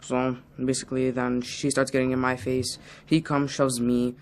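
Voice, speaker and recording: A young man playing a sullen, reluctant high school student in an amateur dramatization, halting and offhand. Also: completely flat delivery, slight background noise, lightly acted. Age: teenager.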